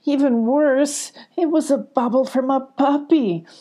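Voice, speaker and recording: A woman's voice: high-pitched, unhurried, dark tone, pitched above the performer's own voice. A woman voicing a small, earnest, anxious female creature in a children's storybook, with a fretful, dramatic delivery. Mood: upset, confessing.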